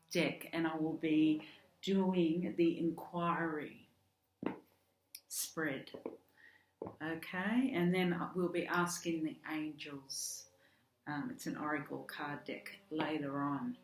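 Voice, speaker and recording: A woman with an Australian accent who is losing her voice, giving a chatty tarot card reading with soft laughs and fillers. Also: on the low side. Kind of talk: casual direct-to-camera tarot reading. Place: home room with garden work outside. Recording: echoey room, faint outdoor garden work noise.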